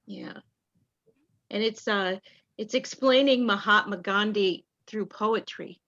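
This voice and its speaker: A woman reading out a playwright's answers in an online talk back, warm and enthusiastic. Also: mid-range voice, slow pace. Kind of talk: video call talk back. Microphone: video call. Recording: dull lo-fi call audio.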